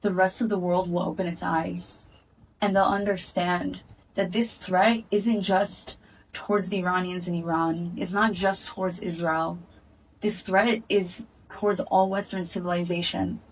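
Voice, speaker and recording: Woman, earnest statement to camera, steady and measured, slightly off-mic. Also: fairly low pitch, recorded from a distance, a little hiss or hum, low-quality recording. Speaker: activist speaking in a video clip. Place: in Israel.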